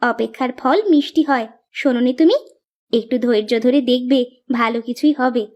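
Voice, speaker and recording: A woman's voice: a little high, normal speed, darkish tone, lo-fi sound, natural voice. A woman voicing a caring, bossy village farmer's wife who chides and reassures in a cartoon folk tale. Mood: reassuring.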